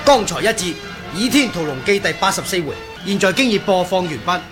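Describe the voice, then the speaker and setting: A man announces a programme in a formal broadcast style. His voice is high for a man. Loud music plays behind him throughout. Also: expressive, fairly quick.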